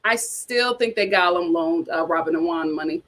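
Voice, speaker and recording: A woman's voice: lowish voice, moderate pace, fairly bright tone. A woman hosting a casual reality TV chat, reading viewer comments aloud with dramatic exclamations.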